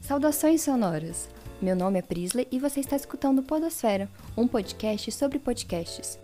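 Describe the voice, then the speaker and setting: A woman's voice: a little high, mellow. A woman with a Brazilian accent hosting a podcast with scripted narration. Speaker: podcast host.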